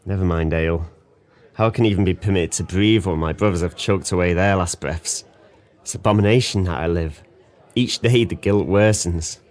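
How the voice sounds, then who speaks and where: Very deep-voiced, brooding drunken sailor played by a man. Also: normal speed, darkish tone, close to an everyday voice. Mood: despairing. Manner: brooding, poetic, theatrical monologue. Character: guilt-ridden drunken former sailor, bleak and bitter. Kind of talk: audio drama acting.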